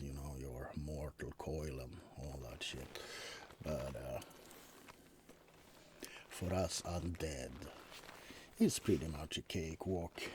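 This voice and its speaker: A man's voice: low voice, warm tone, slight background noise. A man playing a laid-back, wry, centuries-old vampire roommate in an intimate, rambling ASMR roleplay. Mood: wry, nonchalant. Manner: hesitant, rambling, intimate, drifting into whispers. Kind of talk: ASMR roleplay monologue addressed to the listener.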